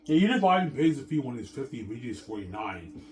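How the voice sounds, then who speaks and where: A man's voice: medium pitch, very animated, fast talker, a little hiss or hum. A man in his thirties rambling to his viewers in a self-assured, repetitive way, often restarting his sentences. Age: 30s. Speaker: vlogger.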